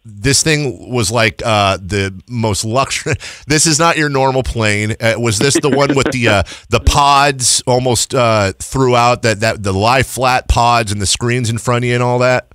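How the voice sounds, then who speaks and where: A man's voice: medium pitch, a little slow, fairly bright tone, clear, resonant tone, dry recording. A man hosting a sports radio show, asking chatty, meandering questions into a close studio microphone. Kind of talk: casual sports radio interview. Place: small padded radio studio.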